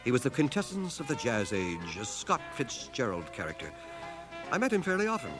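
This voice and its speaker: A bookish, self-deprecating man narrating his memoirs for a 1980 radio drama. His delivery is measured and wry, with wide, expressive swings of pitch. Music plays behind him on the cassette transfer. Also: low-quality recording. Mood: wry, reflective.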